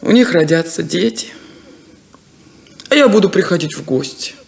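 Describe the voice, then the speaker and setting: A lonely, resigned farm daughter in an old radio drama. Her voice is rather low for a woman or middling, with plenty of creak, and she speaks wistfully and deliberately. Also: expressive, a little mellow. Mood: resigned, bitter. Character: sad, plain, resigned unmarried farm daughter. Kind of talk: 1970s Soviet radio play acting.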